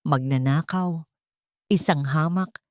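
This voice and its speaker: A woman tells a dramatic story from a script. Her voice is slightly low, with a solemn and suspenseful delivery. The recording is dry, with no room sound. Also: slow pace, darkish tone, no echo. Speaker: story narrator.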